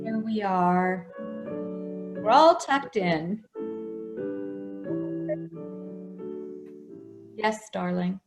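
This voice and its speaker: Tender child's guardian for radio play, played by a woman; mid-pitched, slow, expressive, roomy sound. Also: over music. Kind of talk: radio play acting and storybook reading. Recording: echoey room.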